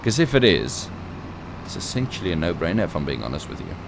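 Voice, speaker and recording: A man's voice: sits low, very animated, fast talker, gravelly, background noise. A man who is not a native English speaker gives casual gameplay commentary as a let's play host, thinking aloud with playful asides.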